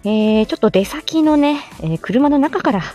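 A woman's voice: high-pitched, animated, moderate pace, mellow, soft background music. A woman chatting casually as a live-stream host, greeting listeners from inside a car.

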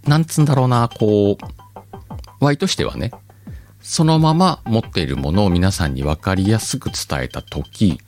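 A man hosting a podcast alone, chatting casually in a rambling, self-mocking way with drawn-out pauses. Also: on the low side, darkish tone. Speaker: podcast host. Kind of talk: casual solo chat.

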